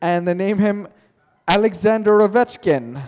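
A male teacher spins a playful, made-up story for his class, joking along the way and calling on students by name. He speaks slowly and a bit high for a man. His voice rings in a classroom with a long echo. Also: a little mellow.

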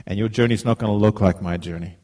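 A man's voice: on the low side, fast talker, mellow. A middle-aged man from Zimbabwe, giving an informal, heartfelt sermon as a guest preacher, often repeating words as he starts a phrase.

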